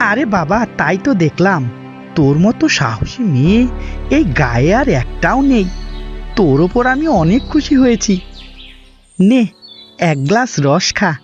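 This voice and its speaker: A man's voice: high voice, very animated, unhurried, long pauses, soft background music. A man voicing a fretful, kindly middle-aged village uncle in a fairy-tale cartoon, exclaiming and fussing on a dull recording. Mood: pleased.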